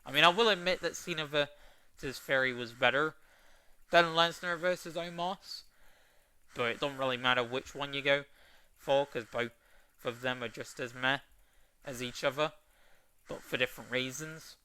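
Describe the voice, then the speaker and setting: A man giving a casual review, unhurried and trailing off into fillers as he weighs his opinions. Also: medium pitch, bright, forceful tone, clear, resonant tone. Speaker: wrestling show reviewer.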